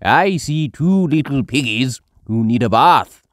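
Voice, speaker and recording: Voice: medium pitch, lively intonation, a little slow, dry recording, clean studio sound, pitched above the performer's own voice, close to an everyday voice. A storyteller voicing a well-meaning, confident old grandfather pig in a children's storybook. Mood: kindly, jovial. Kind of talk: children's storybook read aloud.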